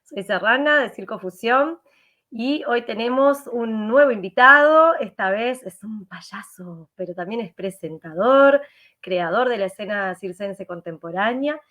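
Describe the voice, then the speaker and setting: A woman's voice: on the high side, lively intonation, measured pace, fairly bright tone. A woman hosting a casual interview over a video call, laughing often and asking rambling questions. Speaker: host.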